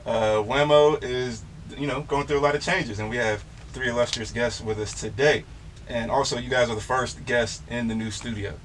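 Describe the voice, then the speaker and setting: A relaxed, slangy male host leads a casual chat in a small studio. His voice sits at an ordinary middle pitch, and a faint hiss runs underneath. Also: moderate pace. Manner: laid-back, slangy, easygoing. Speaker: show host and DJ. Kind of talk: casual interview.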